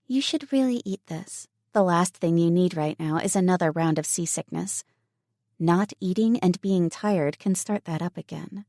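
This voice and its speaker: A woman voicing a kind, level-headed young woman who talks in an earnest, gentle way. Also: on the low side, moderate pace, dry recording, studio quality, natural voice.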